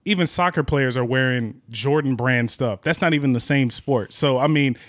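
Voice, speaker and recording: A man's voice: mid-range voice, normal speed, no room sound. A man hosting a casual chat in an informal, slangy way, repeating phrases for emphasis. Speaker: host.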